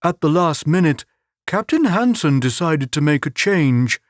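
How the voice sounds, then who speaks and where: A man's voice: fairly high pitch, animated, a little slow, no room sound, clean studio sound, pitched above the performer's own voice. A man voicing an earnest, naive young man who speaks politely.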